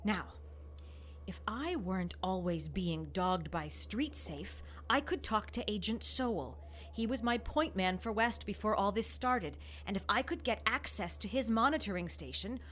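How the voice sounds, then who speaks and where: Woman as haughty scientist, cutting and sarcastic, mid-pitched. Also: expressive, unhurried, a bit bright, a little hiss or hum. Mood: imperious. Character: proud, prickly woman scientist, starving fugitive. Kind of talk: audio drama acting.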